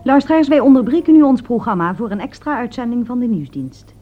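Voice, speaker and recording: A woman's voice: a little high, expressive, a little fast, soft background music, close to an everyday voice. A woman playing a composed continuity announcer, making formal broadcast announcements in a radio drama. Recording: tinny, narrow old radio recording.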